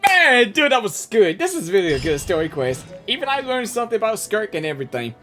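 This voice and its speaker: Lively casual woman reacting to a game. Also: medium pitch, quick pace, soft background music. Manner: informal, talks back to the game characters. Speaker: gaming reaction streamer.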